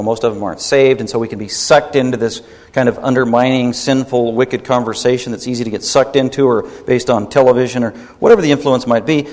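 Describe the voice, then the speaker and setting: A man's voice: medium pitch, darkish tone, big-room sound. A man preaching a sermon as pastor, emphatic and rebuking, driving points home by repeating them.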